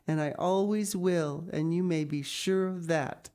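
A woman's voice: extremely high pitch, lively intonation, slow, vocal fry, mellow, voice pushed up, exaggerated voice. A woman voicing a sad, devoted young girl in a storybook, a loyal best friend who speaks plainly and earnestly. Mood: staunch. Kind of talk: audiobook character reading.

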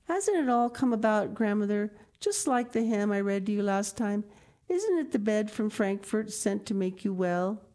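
A woman reads as a joyful little girl, her voice pitched well up and bright with eagerness. The words tumble out quickly and breathlessly in this storybook telling. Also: animated, lightly acted.

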